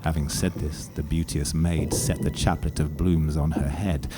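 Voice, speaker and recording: A man giving an intense, deliberate and expressive dramatic reading of Romantic verse as an actor. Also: a bass voice, loud music behind the voice. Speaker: actor reciting poetry. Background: loud musical accompaniment.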